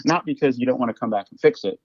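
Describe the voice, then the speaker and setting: A man with a Midwestern accent talks shop as a podcast guest. He rambles, repeats words and restarts his sentences often. His voice sits at a middle pitch and sounds dry and slightly dull, as if from a lo-fi recording. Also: racing, darkish tone, no echo. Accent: Midwestern American. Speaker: guest, flooring business owner. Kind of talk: casual podcast conversation. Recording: dull lo-fi audio.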